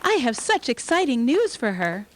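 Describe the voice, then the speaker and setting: Woman acting a high, eager lab assistant. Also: big pitch swings, moderate pace, a little hiss or hum. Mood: excited. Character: eager, cheerful young lady lab assistant, newly engaged. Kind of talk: Golden Age radio drama acting.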